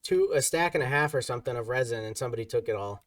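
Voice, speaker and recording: A man's voice: mid-range voice, racing, over a noisy crowd. Teasing man streamer, heard from a distance. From Ohio, USA. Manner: teasing, reads chat aloud. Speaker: streamer host. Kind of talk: casual gaming chat.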